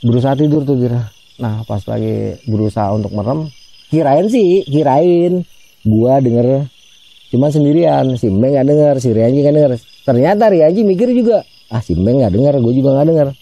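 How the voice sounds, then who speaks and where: A man tells a ghost story in a relaxed, chatty way. His voice is dark and gravelly, and somewhat husky. Crickets and frogs chirp in the background. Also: mid-range voice, moderate pace, dry recording, lo-fi sound. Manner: chatty, addresses listener by name, acts out quoted voices. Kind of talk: casual spoken ghost story.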